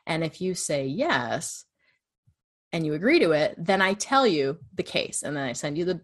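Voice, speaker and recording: A woman with a low-registered voice, chatting casually as she walks through her podcast process step by step. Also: sing-song, moderate pace. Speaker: true crime podcast host. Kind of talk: casual conversation.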